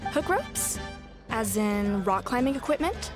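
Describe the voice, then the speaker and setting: A woman's voice: fairly high pitch, expressive, a little slow, music in the background. A woman voicing a hesitant, obliging young shopkeeper in a video game, easily surprised.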